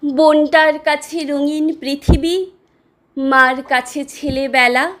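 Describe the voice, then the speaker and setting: A woman with a very high, bright, forward voice gives an expressive poetry recitation. Her delivery is slow and deliberate, shaped by dramatic pauses.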